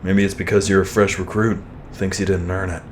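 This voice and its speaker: Actor as a very low-voiced, wry male soldier. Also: a little fast, slight background noise, lightly acted. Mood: calm, reassuring. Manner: wry, calm, reassuring. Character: laid-back, protective, seasoned male soldier comrade. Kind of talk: sci-fi audio drama acting.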